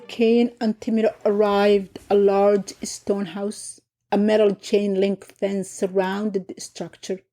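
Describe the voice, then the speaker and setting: A middle-aged woman from Somalia, narrating her own family memoir in a reflective, unhurried way. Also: medium pitch, warm tone. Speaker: podcast host and narrator. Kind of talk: personal memoir storytelling.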